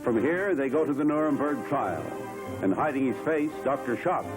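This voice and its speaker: A man's voice: medium pitch, lively intonation, moderate pace, rough recording. A man narrating a 1940s newsreel in a formal, dramatic announcer's delivery. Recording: 1940s newsreel soundtrack with loud music behind.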